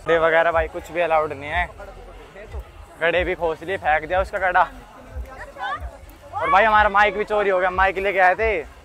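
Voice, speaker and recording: A young man with a fairly high voice vlogs in a loose, excitable way. He speaks into a phone held at arm's length out on the street. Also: moderate pace, pauses often. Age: young adult. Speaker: vlogger. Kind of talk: casual vlog chat. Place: outdoors on streets and grounds near a large crowd.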